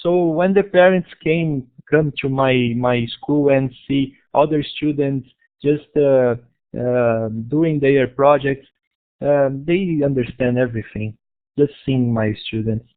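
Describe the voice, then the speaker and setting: A man from Brazil with a Brazilian Portuguese accent, giving a talk about his school over an online conference in halting English with many restarts. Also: mid-range voice. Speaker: guest speaker, school founder. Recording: tinny online call audio.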